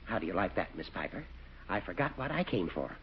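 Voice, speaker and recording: A man's voice: low voice, big pitch swings. Man: elderly judge, fussy and long-winded, voice swooping widely, vintage radio comedy, dull recording. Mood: rambling. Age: older. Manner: long-winded, halting, digressive. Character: rambling, genial, fussy old judge. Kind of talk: 1940s radio comedy acting.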